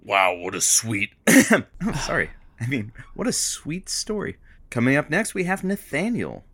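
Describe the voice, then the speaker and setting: A man playing a teasing, excitable and slightly needy radio producer sidekick, theatrical in his audio drama banter. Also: medium pitch, measured pace, no room sound, full character voice. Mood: eager.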